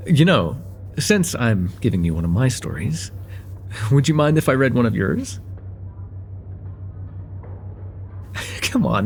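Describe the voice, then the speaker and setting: A man playing a friendly young college guy, lively pitch swings. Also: vocal fry, a little hiss or hum, full character voice. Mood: curious, playful. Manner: casual, hesitant, teasing, with soft chuckles and hums. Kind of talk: slice-of-life ASMR roleplay, one-sided conversation with the listener.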